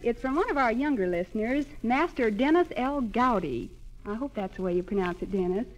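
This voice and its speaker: A woman's voice: a little high, animated, brisk, muffled recording. An American woman presenting a scripted 1940s radio show as its host, on a vintage broadcast recording.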